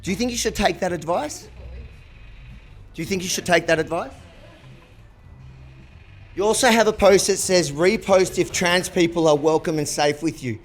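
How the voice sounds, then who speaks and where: Male reporter, somewhat high voice, confrontational and pressing questions. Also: moderate pace, pauses often. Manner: pointed, challenging questions. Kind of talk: confrontational street interview.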